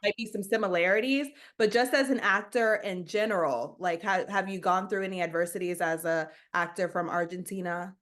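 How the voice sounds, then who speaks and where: A woman interviewing an actor in a casual, informal way, with quick affirmations. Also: fairly low pitch, steady tone, fairly bright tone.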